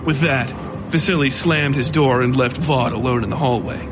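A man's voice: mid-range voice, moderate pace, background noise. A man reading scripted, dramatic narration for a horror fiction podcast. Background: music.

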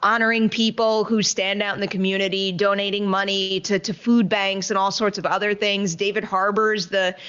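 A woman's voice: mid-range voice, flat delivery, moderate pace, few pauses, a bit bright, clear, resonant tone, dull audio. A woman hosting a casual entertainment news show, chatting in an enthusiastic, effusive way into a studio microphone. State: a little sleepy. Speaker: show host.

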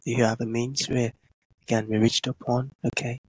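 Halting, slow-asking interview host. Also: male voice, medium pitch, little pitch movement, dry recording, poor audio. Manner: slow, halting questions with frequent "mm" and "amazing". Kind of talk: casual interview conversation.

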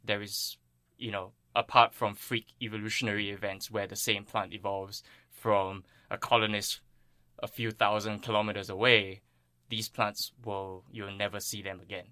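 A man's voice: fairly low pitch, flat delivery, normal speed, sharp tone, no echo. A man from Singapore giving earnest, thoughtful explanations as an interviewed plant ecologist.

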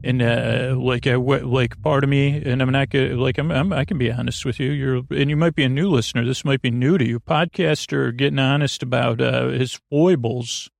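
Very creaky, meandering bedtime podcast man. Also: medium pitch, fairly even intonation, no room sound. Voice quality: creaky, lulling tones. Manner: halting, digressive, self-interrupting, apologetic. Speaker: host of a bedtime sleep podcast. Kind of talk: rambling, meandering bedtime monologue.

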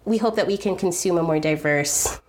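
An American woman in her thirties, a food video creator, speaking earnestly and thoughtfully in an interview, often pausing to restart her sentences. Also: on the low side. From United States. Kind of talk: reflective interview conversation.

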